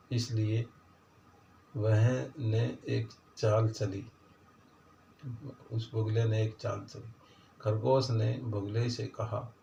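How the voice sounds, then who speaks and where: Male storyteller, low gravelly voice, plain halting delivery, children's moral tale, echoey distant recording. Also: dark tone. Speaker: storyteller, channel host. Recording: distant mic, echoey room, dull, faint noise.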